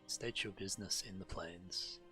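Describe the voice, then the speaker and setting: Male streamer, very low pitch, forceful bright tone, reading quest text, faint music behind. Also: fairly even intonation, normal speed, rough recording. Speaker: game streamer. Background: game music.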